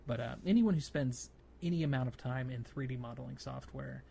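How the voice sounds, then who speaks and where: A man with a noticeably creaky, gravelly voice co-hosts a casual gaming podcast. His pitch is middling and he rambles along haltingly, repeating words as he goes. There is faint noise behind him. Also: dark tone. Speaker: podcast co-host.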